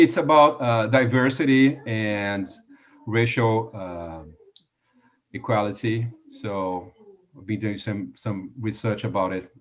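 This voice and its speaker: A polite man from Brazil in his thirties answers interview questions over a video call, his speech halting and full of small hesitations. His voice sits lower than most men's. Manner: halting, with many "uh" fillers, polite. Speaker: guest. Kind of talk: live online interview.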